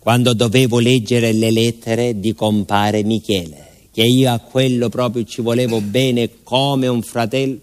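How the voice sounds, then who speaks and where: A man acts out a simple southern Italian village postman in a radio drama. He has a medium-pitched voice and a folksy, confiding way of telling things, full of hesitations and repeated words, naive and wistful. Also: steady tone, a little slow, darkish tone, close to an everyday voice. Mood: wry, confiding. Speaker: actor in a monologue. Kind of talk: theatrical radio monologue in colloquial storytelling.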